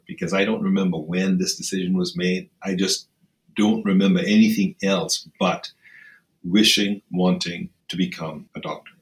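Male doctor guest, low register, articulate and considered interview delivery. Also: low-quality recording. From Kenya. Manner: measured, formal, articulate phrasing. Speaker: surgeon, podcast guest. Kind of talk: thoughtful interview answers.

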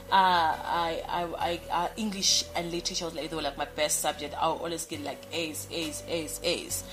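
A woman's voice: on the low side, low-quality recording. A young woman from Zimbabwe, raised in South Africa, a radio personality answering casual interview questions in a bubbly, rambling way with many fillers and restarts. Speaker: radio personality, podcast guest. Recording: music playing behind.

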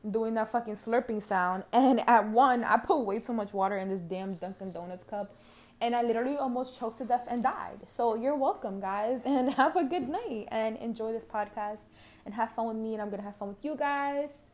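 A woman's voice: on the high side, normal speed, a little mellow. Brash young woman with a New York accent. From Harlem, New York City. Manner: brash, profane and chatty. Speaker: podcast host. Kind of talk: casual solo podcast monologue. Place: at home on a couch.

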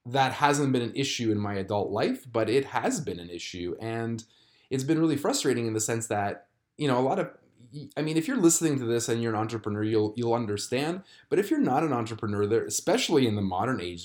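A man in his thirties, born in Croatia, hosting a solo podcast and talking through a personal struggle in a reflective, halting way with many fillers and restarts. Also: lowish voice, a little mellow. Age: 30s. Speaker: podcast host. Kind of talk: candid solo podcast confession.

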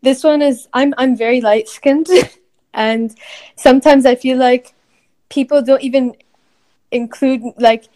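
A woman's voice: sits high, fairly even intonation, measured pace, pauses often, darkish tone. A young woman from Syria in a casual group discussion. She talks in a thoughtful way, trailing off in long sentences and laughing often. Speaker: discussion panelist.

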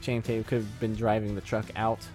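A man's voice: on the low side, level tone, fast talker, darkish tone, faint music behind. A young man, co-hosting a casual film podcast, chatty and prone to trailing off and restarting. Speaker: podcast co-host. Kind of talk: casual film review chat.

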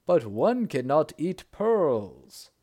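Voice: medium pitch, sing-song, takes it slowly, mellow. A storyteller voicing a commanding older sultan who speaks in a regal, emphatic manner.

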